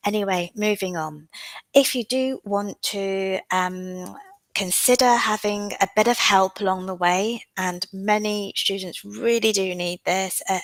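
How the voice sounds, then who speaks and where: Teacher lady with a cold, lowish gravelly voice, lots of ums, dry close sound. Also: dry recording, low-quality recording. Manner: frequent "um" fillers, unhurried and explanatory. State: has a cold. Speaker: OET teacher. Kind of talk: live online class.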